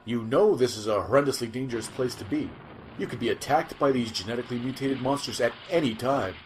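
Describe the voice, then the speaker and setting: A man's voice: mid-range voice, fast, a little hiss or hum, lightly acted, rough recording. A man playing a clueless, pushy field reporter in a comic sci-fi audio drama. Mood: incredulous. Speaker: news reporter interviewer.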